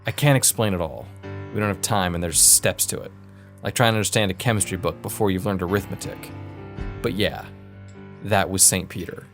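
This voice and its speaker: A man's voice: deep voice, brisk, music in the background, close to an everyday voice. A man voicing a serious, respectful and plain-spoken loyal companion in an audio drama. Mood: earnest, grave. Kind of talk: audio fiction character voice.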